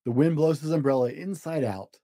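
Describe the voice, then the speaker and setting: A man hosting a children's show, reading a storybook aloud in simple, expressive language for young listeners. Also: fairly high pitch, fairly quick, no echo, clean studio sound.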